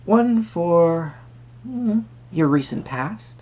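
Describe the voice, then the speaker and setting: A performer playing a quirky, friendly tarot reader at a Renaissance fair, speaking to the viewer in halting, theatrical phrases. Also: mid-range voice, lively intonation, a little mellow. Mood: whimsical, musing. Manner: hesitant, theatrical, halting phrasing with sudden emphasis. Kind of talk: improvised first-person roleplay addressed to the viewer.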